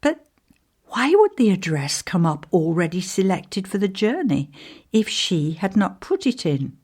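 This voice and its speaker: A woman reading a ghost story aloud as scripted narration, in a measured, deliberate way with pauses. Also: mid-range voice, very animated, darkish tone, breathy edge.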